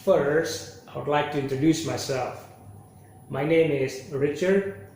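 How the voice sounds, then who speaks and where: A man's voice: a little high, slow, a little mellow. A man from Indonesia with an Indonesian accent, a language teacher speaking in a conversational, emphatic way as he instructs viewers. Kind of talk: instructional talk to camera. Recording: very echoey room, faint noise.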